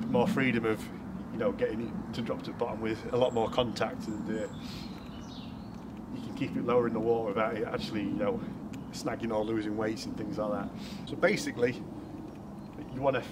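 An English man with a mid-pitched voice talks casually to camera as a fishing vlogger. His wording is informal and colloquial. The recording is made outdoors, with clear ambient noise around the voice. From United Kingdom. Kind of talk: casual explanatory vlog chat. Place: outdoors on a canal bank.

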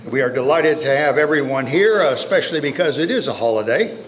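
A man's voice: medium pitch, animated, a little fast, a little hiss or hum. A man in his sixties giving church announcements from a lectern, genial and joking, with many fillers. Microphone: lectern mic, filmed from mid-room.

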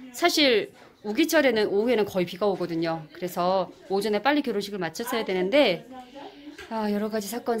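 A woman narrating a wedding in a casual, chatty way, laughing often. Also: medium pitch. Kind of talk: casual voice-over commentary. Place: outdoors at a wedding in Kenya. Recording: with music playing behind.

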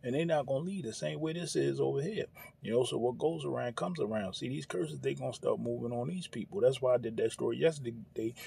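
A man's voice: mid-range voice, a little fast, vocal fry, mellow, a little hiss or hum. An American man giving casual, off-the-cuff religious commentary in an informal, preachy manner. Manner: informal, preachy, peppered with "you know" and "hey". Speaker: commentator reacting to a news clip.

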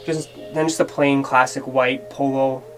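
A man's voice: medium pitch, little pitch movement, unhurried, fairly bright tone. Enthusiastic Boston-accented man with music. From Massachusetts. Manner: enthusiastic, filler-heavy, gushing. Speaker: fashion vlogger. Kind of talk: casual closet tour. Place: small bedroom closet, indoors. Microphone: camera on tripod at close range. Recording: background music under voice.

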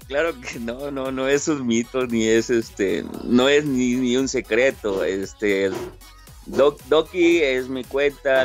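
Guest spot: young Mexican man, relaxed and open, fillers and restarts, mid pitch, faint music underneath. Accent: Mexican Spanish. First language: Italian-born, raised in Mexico. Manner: friendly, repeats words, frequent fillers. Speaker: interview guest, top game player. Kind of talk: casual interview answers.

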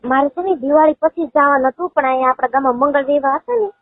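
A woman's voice: high-pitched, quick pace, slight background noise. A woman with a Gujarati accent chatting casually and intimately on a phone call, affectionate and fond of pet names. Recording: tinny, narrow phone-call line.

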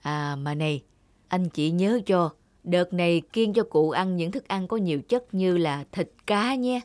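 A woman voicing a kindly, conscientious old male village healer in a children's cartoon, giving advice in a measured, earnest way. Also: on the low side, lively intonation, darkish tone, no room sound. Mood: advising. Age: older. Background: music.

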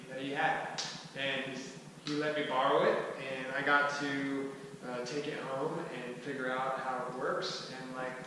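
A man in his thirties giving an informal, conversational explanatory talk to a small group, with somewhat halting delivery. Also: mid-range voice. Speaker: presenter at a skillshare. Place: large indoor room with wooden floor and mirror. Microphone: distant camera microphone. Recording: echoey hall, far from mic, faint noise.

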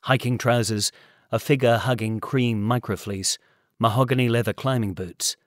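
Middle-pitched man narrating, close and dry. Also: steady tone, normal speed, no room sound. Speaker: audiobook reader. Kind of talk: scripted story narration.

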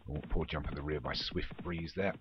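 A man's voice: rumbling low, fairly even intonation, a little fast. A man giving running commentary on a horse race in an online game league, informal, with muttered asides and self-corrections. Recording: dull, noisy home recording with clicks.

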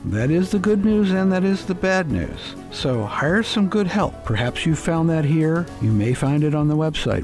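A man's voice: medium pitch, gravelly, a little mellow. A man hosting a radio show in a conversational style.

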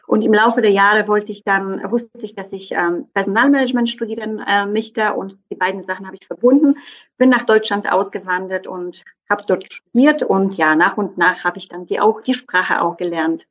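Zögernde Frau mit polnischem Akzent, dumpfer Klang. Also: female voice, medium pitch, normal speed, muffled recording. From southeastern Poland. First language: Polish. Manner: hesitant, many fillers. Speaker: guest. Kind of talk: conversational interview.

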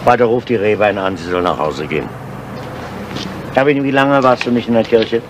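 An actor playing a calm, persistent police inspector in a 1970s German radio crime drama, questioning politely and in a measured way. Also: male voice, on the low side, noisy background, close to an everyday voice. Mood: brisk.

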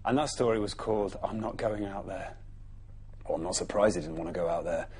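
A man in his thirties with an English accent, telling a bedtime story to young children in an expressive, dramatic way, often dropping soft and low. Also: a little fast, slightly rough. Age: 30s. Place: television studio.

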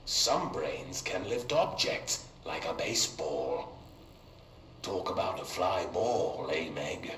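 A man's voice: sits low, lively intonation, slow. A man playing an avuncular puppet-show host uncle, explaining things to a child in a patronizing singsong. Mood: patronizing cheer. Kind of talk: children's educational TV show acting. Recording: echoey room with faint noise.

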